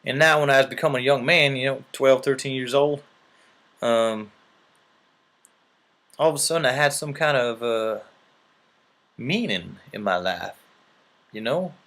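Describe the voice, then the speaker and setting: A man's voice: medium pitch, a little slow, long pauses, fairly bright tone. A man telling a long mock-serious story in an earnest, rambling way, often checking in with "right?".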